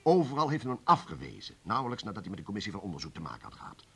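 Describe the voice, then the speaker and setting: Husky, hard-nosed communist official. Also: male voice, on the low side, very animated, very fast, heavily acted. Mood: heated, bitter. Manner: forceful, argumentative, insistent. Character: hard, suspicious, stubborn male communist official. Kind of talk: radio play acting.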